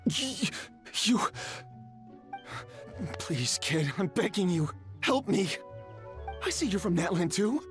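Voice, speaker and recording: A middle-aged swindler and father in a video game. He is desperate and theatrical, with a very animated, whiny voice that stammers and begs. Music plays behind him. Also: high-pitched, slow pace, pauses often, heavily acted. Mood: pleading. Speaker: voice actor in a game. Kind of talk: dramatic game voice acting.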